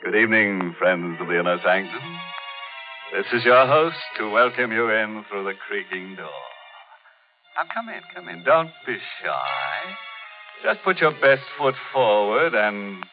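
Slow, ghoulish radio horror host. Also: male voice, on the low side, very animated. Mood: mock welcoming. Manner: mock-welcoming, punning, chuckling menace. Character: ghoulish, gleefully macabre male horror host. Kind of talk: 1940s radio horror hosting. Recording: muffled vintage radio recording, faint organ.

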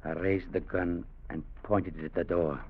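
An actor playing a proud, quietly menacing seafaring man in a 1940s radio drama, both narrating and acting. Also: deep voice, fairly even intonation, moderate pace, background noise, lightly acted. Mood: grave, brooding. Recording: muffled old radio recording with music.

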